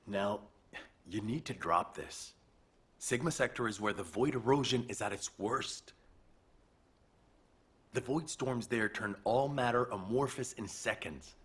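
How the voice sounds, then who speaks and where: Husky, skeptical military engineer. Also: male voice, fairly low pitch, measured pace, pauses often. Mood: skeptical, exasperated. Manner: matter-of-fact, argumentative. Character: skeptical, practical military engineer, a grown man. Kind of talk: video game voice acting.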